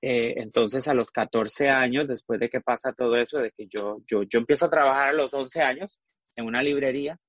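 A man's voice: medium pitch, fairly quick, a bit bright, dull audio. A man in his forties from Costa Rica, a guest frankly telling his personal story, often trailing off and restarting. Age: 40s.

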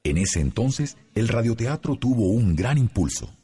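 A man's voice: mid-range voice, very animated, normal speed, dark tone, husky. A man reading scripted documentary narration.

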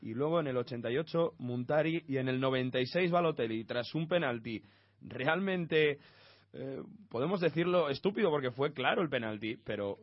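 A man with a mid-range voice hosts a radio sports segment and keeps things moving. He puts quick questions to his guest and rattles off scores and scorers. The recording is dry and has a dull, lo-fi radio quality. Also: mid-range voice, animated, no room sound. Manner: brisk, steering questions, recapping results.

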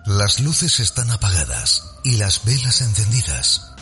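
A man's voice: lowish voice, sing-song, unhurried, fairly bright tone, faint music behind. A man announcing the opening of a radio show in a dramatic, scripted style.

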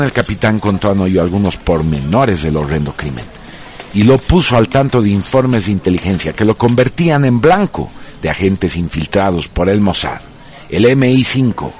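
A man reading scripted dramatic narration for a radio serial in a grave, formal manner. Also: low voice.

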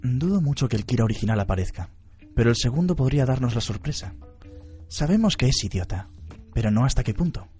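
An actor playing an unflappable, analytical detective in a radio drama, methodical and blunt. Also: male voice, mid-range voice, big pitch swings, quick pace, mellow, faint music behind, natural voice. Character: analytical, unflappable male detective briefing his team.

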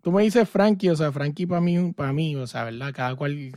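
A man's voice: on the high side, moderate pace, a little mellow. A man hosting an interview, chatty and enthusiastic, clipping his words. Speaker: interviewer, host. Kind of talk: casual interview.

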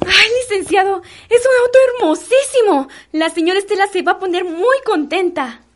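A woman's voice: high-pitched, expressive, a little fast. A woman playing a gushing, deferential housemaid in a radio soap opera, with a Mexican accent. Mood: thrilled. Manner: gushing, exclamatory, servile. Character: excitable, deferential housemaid, eager and admiring.

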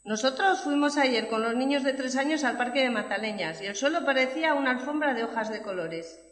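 A woman playing a knowing, gently teasing young schoolchild in a scripted audio story for children. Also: sits high, fairly quick. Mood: enthusiastic. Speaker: preschool teacher. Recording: echoey reverberant room.

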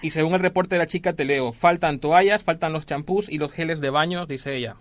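A man's voice: on the high side, a little fast, no echo, lightly acted. Man playing insistent, officious hotel administrator. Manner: officious, apologetic, repetitive, hesitant phrasing. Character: polite insistent male hotel administrator collecting a fine. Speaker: radio prank caller. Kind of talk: prank phone call, improvised role-play.

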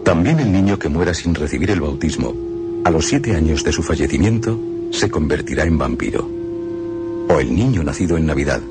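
Extremely deep-voiced man reading ominously. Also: lively intonation, a little fast, noisy background. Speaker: reader of quoted passages. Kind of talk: dramatic radio reading. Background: loud music.

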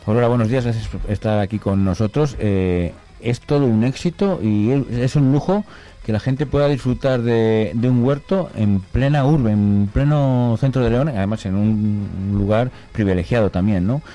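A man's voice: medium pitch, normal speed, warm tone. A man hosting a radio show, chatty and prompting his guests with tag questions. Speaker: radio host. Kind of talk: radio magazine interview.

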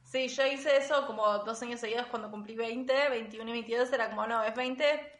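A woman's voice: on the high side, fairly even intonation, brisk, a bit bright. Chatty young Argentine woman, echoey room. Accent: Rioplatense Spanish. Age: 20s. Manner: chatty, laughs easily, lots of fillers. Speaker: podcast co-host. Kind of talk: casual chat between friends.

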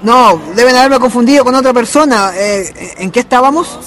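A man's voice: very high-pitched, moderate pace, fairly bright tone. A man playing Pedro, a vain young womanizer who stammers and protests when he is caught lying, in a radio drama. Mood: flustered. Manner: stammering, defensive, indignant. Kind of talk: community radio drama acting. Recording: radio drama with faint music.

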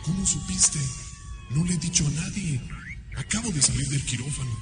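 A man's voice: on the high side, animated, normal speed, over loud music, close to an everyday voice. An actor with a Mexican accent playing a bewildered new father in a radio drama. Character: startled new father in a hospital.

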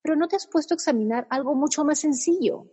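An actress playing a sensible, patient woman friend in a radio comedy, calm and reasoning with dry irony. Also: sits high, quick pace, long reverb tail, close to an everyday voice. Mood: persuasive.

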